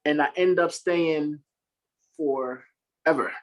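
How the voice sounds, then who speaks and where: A man's voice: fairly high pitch, slow. A man in his thirties from Haiti, a guest on a podcast, talking casually in an earnest, upbeat way and laughing easily. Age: 30s. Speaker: podcast guest, Marine staff sergeant. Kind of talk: casual interview talk.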